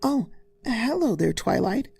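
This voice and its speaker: A man puts on a sweet young female pony for a storybook, pitched well up into a high, soft register. The manner is mild, trusting and gently concerned, recorded close and dry. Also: big pitch swings, measured pace, vocal fry, breathy edge, dry recording, full character voice. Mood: sweet greeting.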